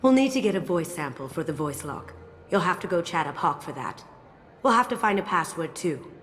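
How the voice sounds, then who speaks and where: A sly woman thief from a video game, speaking in a low, self-assured voice. She is breezy and quietly wry, with soundtrack music clearly behind her. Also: big pitch swings, a little fast. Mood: confident, businesslike. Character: sly, confident master thief woman, playful and cool. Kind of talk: video game character acting.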